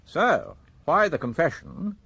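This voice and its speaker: An actor playing a terse, authoritative British chief inspector in a radio crime drama, probing with clipped questions. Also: male voice, a little high, wildly swooping pitch, takes it slowly, vocal fry, pushed and bright, slightly rough, no room sound, full character voice. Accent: British English.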